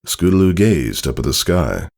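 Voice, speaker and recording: A man's voice: deep voice, big pitch swings, normal speed, gravelly, darkish tone. A man reading a story aloud as narrator, in a scripted storybook style. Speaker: narrator, fan fiction reader.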